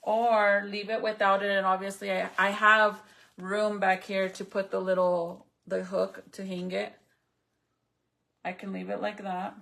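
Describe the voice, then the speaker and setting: A gravelly woman in her thirties, a native Spanish speaker, talks casually and bluntly while she works on a small craft. Her speech is chatty and profane, and she addresses her viewers directly. Her voice sits at a medium pitch with a steady rasp of vocal fry. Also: a little slow. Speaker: vlogger.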